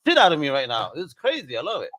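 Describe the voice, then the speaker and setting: A man's voice: fairly high pitch, wildly swooping pitch, rapid-fire, a crowd in the background. A man with a Puerto Rican accent hosting a podcast interview, talking in an enthusiastic, slangy, hyped-up way. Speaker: podcast host. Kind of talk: casual interview chat.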